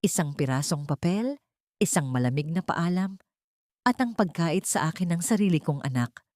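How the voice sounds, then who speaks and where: A woman's voice: fairly low pitch, expressive, moderate pace, darkish tone, dry recording, studio quality, close to an everyday voice. A woman voicing a wronged, heartbroken young mother in an audio story, anguished, pleading and dramatic. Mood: bitter anguish.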